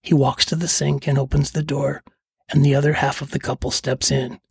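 A middle-aged man with a husky, gravelly voice, narrating scripted first-person stories as a podcast host. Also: medium pitch, little pitch movement, brisk, dry recording, clean studio sound. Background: faint music.

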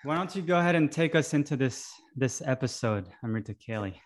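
Male podcast co-host, friendly and halting, given to ums and restarts. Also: mid-range voice, lively intonation. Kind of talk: casual chat and interview hosting.

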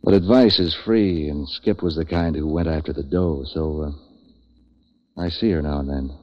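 A man's voice: very deep voice, unhurried. An actor playing a street-wise, sardonic troubleshooter in a 1940s radio noir, with wry, slangy tough-guy delivery. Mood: wry, narrating. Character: street-wise, hard-boiled male boat-for-hire troubleshooter, sardonic. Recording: dull vintage 1940s radio recording.

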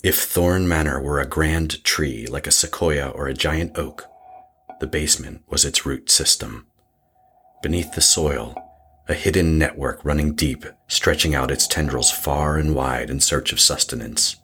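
A man narrating a horror story in an ominous, deliberate, literary manner. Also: very deep voice, sharp tone, slight background noise. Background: music.

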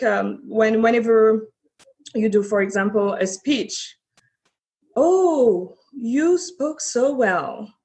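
Slow, halting woman. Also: medium pitch. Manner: halting, many restarts and fillers. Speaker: panelist. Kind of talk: discussion panel.

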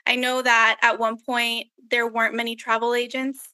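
A woman's voice: on the high side, little pitch movement, normal speed, pushed and bright, clean tone, dry recording. An American woman talking casually as a podcast co-host. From Miami, USA.

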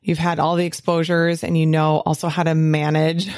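A woman hosting a podcast from a small home office, chatting casually and laughing often, her voice a little hoarse from a cold. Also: deep voice, little pitch movement, moderate pace, darkish tone, no room sound, studio quality. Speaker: podcast host.

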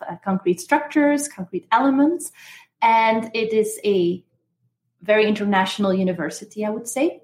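A woman in her thirties from Belgium, explaining things as an interview guest and professor. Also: medium pitch. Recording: roomy, echoing sound.

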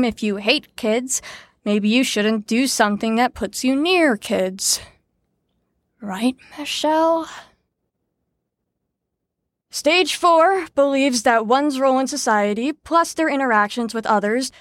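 An actress playing a brisk, sarcastic teacher in an audio drama, lecturing in an articulate, wry way. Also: female voice, a little high, no echo, clean studio sound, lightly acted. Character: brisk, sarcastic female teacher explaining psychology theories. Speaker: lecturer leading a group lesson.

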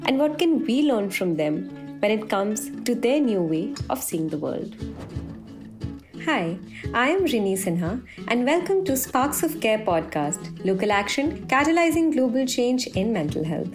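A woman hosting a podcast, reading a measured, explanatory script. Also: a little high, sing-song, music in the background. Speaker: podcast host.

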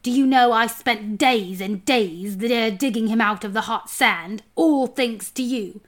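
A surly mountain dwarf from a fantasy storybook, acted out by a woman reader. He is suspicious and quick to take offence, spitting and growling his words. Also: on the high side, close to an everyday voice. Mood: accusing. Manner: spitting, growling, shrieking when alarmed. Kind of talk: children's fantasy storybook reading.

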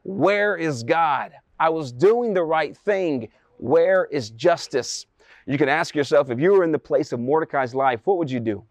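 A man's voice: fairly high pitch, animated, unhurried, no echo. A man preaching a sermon, retelling a Bible story dramatically with rhetorical questions and emphatic repetition.

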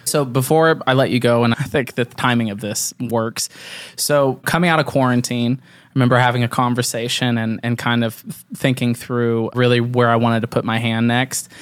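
A man's voice: mid-range voice, fairly even intonation, normal speed, no room sound. A man in the host's chair, talking in an earnest, winding way, full of "like" and "you know." His voice sits mid-range and sounds dry and close-miked. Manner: earnest, rambling questions with many fillers. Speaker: podcast host. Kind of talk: casual interview chat.

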